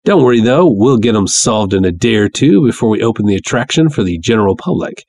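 A man's voice: lowish voice, lively intonation, quick pace, darkish tone. A man voicing a brash, jovial, sly showman promoter with folksy salesman patter in a pulp story reading. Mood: boastful. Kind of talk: dramatic reading of pulp fiction.

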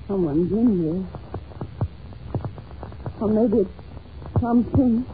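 An actress playing a timid, well-mannered young governess in an old-time radio drama, speaking in a breathless, polite, melodramatic way. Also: female voice, medium pitch, takes it slowly, natural voice. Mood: fearful, brooding. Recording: muffled noisy old radio recording.

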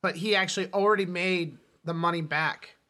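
A man's voice: high voice, steady tone, fairly quick, a crowd in the background. A man co-hosting a podcast, chatting casually about film and television. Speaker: podcast co-host.

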